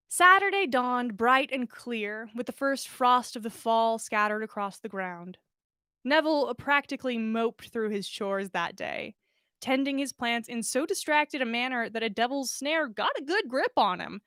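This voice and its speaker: A woman narrating a story aloud in an expressive storytelling style, as a podcast host reading fiction. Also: on the high side, no echo, clean studio sound.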